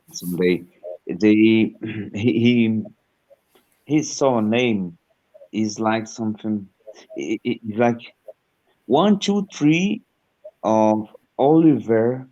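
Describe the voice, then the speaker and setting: A male English teacher whose first language is Portuguese, speaking halting English full of fillers over a video call. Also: lowish voice, a little mellow, dull audio. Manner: halting non-native English, many fillers. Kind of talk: casual conversation practice.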